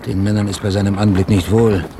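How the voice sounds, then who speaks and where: A man's voice: on the low side, level tone, quick pace, noisy background, lightly acted. An actor playing a dutiful, uneasy ship's lieutenant in a German radio drama, respectful and frank toward his superior.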